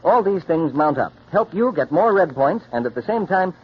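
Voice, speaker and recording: A man's voice: a little high, big pitch swings, normal speed, husky. A male radio announcer reading a scripted 1940s public service announcement in a persuasive, instructive way. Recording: dull old radio recording.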